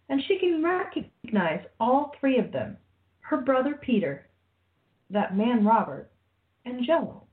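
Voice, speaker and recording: A woman's voice: sits high, phone-quality sound, heavily acted. A woman acting as the storyteller, reading scripted narration for a time-travel adventure radio play.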